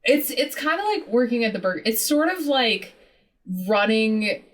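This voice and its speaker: A woman in her thirties, a podcast guest talking in a lively, casual way, full of "like" and casual swearing. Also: fairly high pitch, moderate pace, recorded from a distance. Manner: animated, heavy use of "like", swears casually. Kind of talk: casual interview chat.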